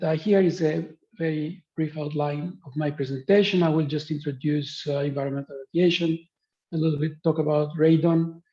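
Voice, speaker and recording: A man's voice: on the high side, mellow. A man from Greece giving a webinar lecture over a video call, speaking with many hesitant fillers. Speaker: guest speaker, professor. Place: remote spot in the countryside. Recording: dull lo-fi call audio.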